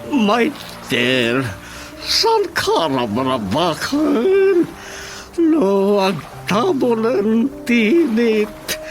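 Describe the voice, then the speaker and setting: A befuddled eccentric visitor rambles and stammers theatrically in an Ilocano accent. The delivery is extremely slow and swoops wildly in pitch, in radio comedy style over music. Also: female voice, sing-song, full character voice. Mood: rambling. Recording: music behind the voice.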